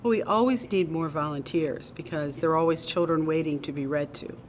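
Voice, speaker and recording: A woman with a low, deep-set voice answers interview questions as a nonprofit director. She speaks in a measured, earnest manner, pausing now and then on an um. A faint hiss sits under the recording.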